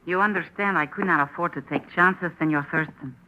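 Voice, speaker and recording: Casual: a wary nurse, clipped and formal, dull old radio sound. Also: female voice, lowish voice, fairly quick, bright, forceful tone. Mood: guarded. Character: cool guarded female nurse holding a gun. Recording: muffled old radio broadcast with hiss.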